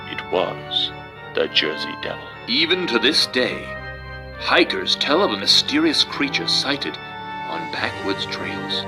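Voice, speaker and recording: A man giving a dramatic, theatrical and emphatic reading of a children's story, with music behind him. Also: fairly low pitch. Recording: music under the voice.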